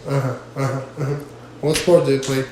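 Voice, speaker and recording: A man's voice: mid-range voice, flat delivery, darkish tone, slight background noise. Hesitant man interviewing. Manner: halting, many fillers, apologetic. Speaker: interviewer and channel host. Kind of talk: casual interview in non-native English. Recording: echoey room with faint ambience.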